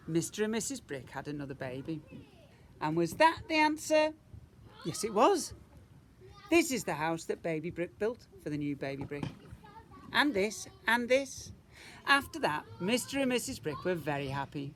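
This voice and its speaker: A man tells a picture-book story in the manner of an infant teacher. His pitch swoops widely and key words are stressed. There is faint noise in the background. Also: sing-song, moderate pace, a bit bright. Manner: emphatic, with rhetorical questions and asides. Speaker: teacher reading to young children.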